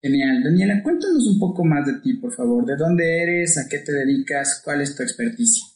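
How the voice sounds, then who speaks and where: A man's voice: on the high side, lively intonation, moderate pace, darkish tone. A man, a podcast host introducing a guest and asking questions in a friendly, upbeat way. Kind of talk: interview questions. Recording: roomy echo.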